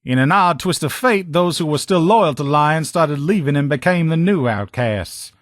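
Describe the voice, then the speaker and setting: Higher-voiced, plain-spoken soldier played by a man. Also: animated, normal speed, no echo, clean studio sound, natural voice. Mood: wry, opinionated. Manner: folksy, salty, plain-spoken. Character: gruff, loyal post-apocalyptic sheriff's lieutenant, power-armor-loving ex-soldier. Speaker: video game character voice. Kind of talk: casual, conversational game dialogue.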